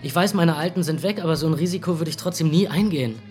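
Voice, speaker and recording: Slightly high male lead, worn-out inner monologue, coarse, faint music under. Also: quick pace, a little mellow. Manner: rough, weary inner monologue with coarse words. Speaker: narrator and lead character. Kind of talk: radio drama first-person narration.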